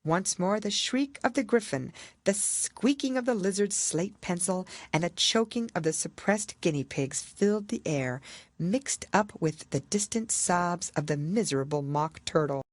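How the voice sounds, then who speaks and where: A woman narrating a children's classic as an audiobook, in a measured, expressive storytelling manner. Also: lowish voice, dry recording. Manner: expressive, measured literary reading.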